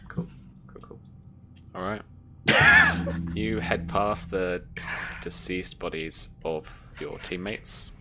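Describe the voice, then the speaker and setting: A woman's voice: a bass voice, sing-song, slow pace, creaky, noisy background. A woman narrating a tabletop game as game master in a casual, conversational way.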